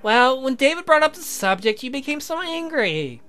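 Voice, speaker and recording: A woman voicing a sweet, demure, apologetic young lady from a visual novel, polite and gentle in manner. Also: high voice, sing-song, normal speed, faint music behind. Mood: apologetic, hesitant. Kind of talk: theatrical visual novel character reading.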